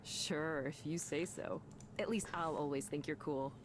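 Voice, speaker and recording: A woman's voice: low-pitched, measured pace. An actress playing a friendly, starstruck woman manager in a video game, chatty, earnest and reassuring. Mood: friendly, eager. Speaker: manager of counseling centers.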